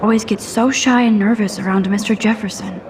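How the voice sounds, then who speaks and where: A woman's voice: medium pitch, steady tone, fairly quick, noisy background, close to an everyday voice. A young woman acting a shy, self-doubting, sarcastic teenage student in a video game, talking introspectively to herself. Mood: anxious.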